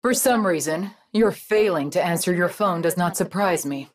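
A woman as a haughty, lowish-voiced scientist. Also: natural voice. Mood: cold disdain. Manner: condescending, measured, precise. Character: haughty, disdainful female scientist, coldly superior. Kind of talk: video game voice acting.

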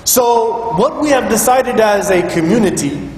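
A man's voice: high-pitched, lively intonation, measured pace, darkish tone, slight background noise. A man giving an earnest, explanatory sermon in a rented school hall used as a mosque, at times chanting scripture. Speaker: imam giving a Friday sermon. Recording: very echoey hall, faint ambience.

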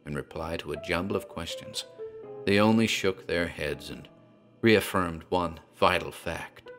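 A man narrates a horror tale with a very low, deep voice. His delivery is dark, deliberate and theatrical. Faint music plays under him.

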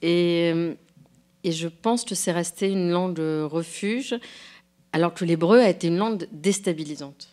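Low-voiced woman speaking at a lectern. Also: measured pace, dark tone. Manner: thoughtful, hesitant, many fillers and restarts. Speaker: guest author. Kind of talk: unscripted public conversation. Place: lecture hall, standing at a lectern. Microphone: lectern microphone.

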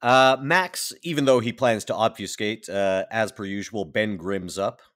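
Male tabletop player, deep voice, casual and offhand, describing in short pieces. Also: unhurried, fairly bright tone. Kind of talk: casual in-game description of a character.

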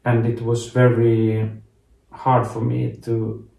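A man, telling his personal story in an interview, haltingly searching for words. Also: mid-range voice, monotone, vocal fry, mellow, recorded from a distance. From Finland. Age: late 30s. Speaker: interview guest, actor. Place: small home bedroom, indoors. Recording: roomy echo, off the mic.

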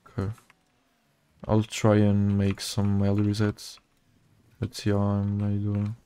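A man with a Portuguese accent, a native Portuguese speaker, giving casual gameplay commentary in low-key asides to himself. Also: monotone, slow pace, mellow, clear, resonant tone. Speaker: gaming video creator.

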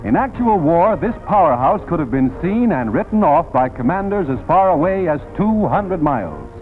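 A man's voice: on the high side, very animated, normal speed. A man with an American accent narrating a 1940s newsreel in a dramatic, declamatory announcer style. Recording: tinny vintage film soundtrack, music behind. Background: newsreel music.

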